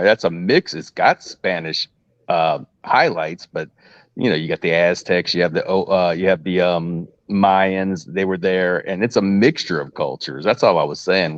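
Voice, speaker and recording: Very deep-voiced halting man, dull dry line. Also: no room sound. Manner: halting, casual, many fillers. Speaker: panel guest. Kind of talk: panel discussion.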